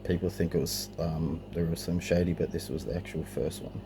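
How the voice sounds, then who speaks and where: A man with a deep voice full of vocal fry presents his collection casually. He speaks informally and without a script, hesitating now and then. The homemade recording has clear background noise. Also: steady tone, a little fast. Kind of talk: casual show-and-tell narration. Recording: clearly noisy amateur home recording.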